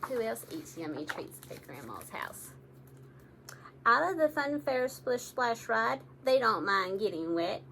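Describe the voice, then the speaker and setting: A woman reading picture books aloud to young children in an animated, engaging way, often addressing her listeners directly. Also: fairly high pitch, measured pace, vocal fry, a little hiss or hum.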